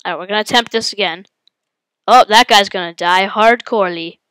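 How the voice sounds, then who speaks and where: A woman with a head cold hosting her gaming channel, giving excitable live commentary and yelping at the game as she plays. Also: mid-range voice, a little fast, clear, resonant tone, dry recording. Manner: excitable, yelps and exclaims at the game.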